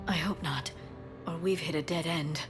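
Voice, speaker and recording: A woman voicing an alert, practical military squadmate in a game, crisp and matter-of-fact. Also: low-pitched, steady tone, moderate pace, lightly acted. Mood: concerned. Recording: loud music behind.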